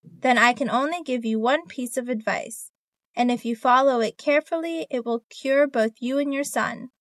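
A woman reading the part of a calm doctor in a scripted textbook dialogue, measured and matter-of-fact. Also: sits high, no echo, close to an everyday voice. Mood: dry. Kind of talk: scripted listening-test dialogue.

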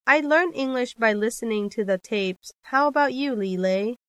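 A woman reads scripted exam dialogues in a clear, careful textbook manner. Her voice sits a little high, and the recording is dry and close-miked. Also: normal speed, dry recording. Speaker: dialogue reader on a listening test.

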